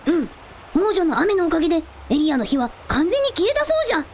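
Very high-pitched boyish dragon prince. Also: female voice, very animated, fast, darkish tone, a little hiss or hum. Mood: matter-of-fact. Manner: old-fashioned lordly speech with childlike openness. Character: young dragon prince in human form, archaic-talking, earnest, boyish. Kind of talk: Japanese radio drama acting.

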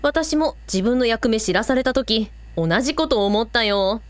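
A woman's voice: fairly high pitch, animated, moderate pace, no echo, natural voice. A woman playing a frank, casual older-sister shrine priestess in an audio drama. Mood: sympathetic. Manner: blunt, casual speech. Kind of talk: Japanese audio drama acting.

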